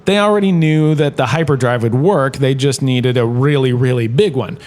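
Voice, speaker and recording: A man playing a jaunty, opinionated history professor in a comedic audio drama lecture, chatty and theatrical, with emphatic pauses. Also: mid-range voice, a little mellow, lightly acted. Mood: wry lecturing. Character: washed-up actor turned jaunty, opinionated male history professor.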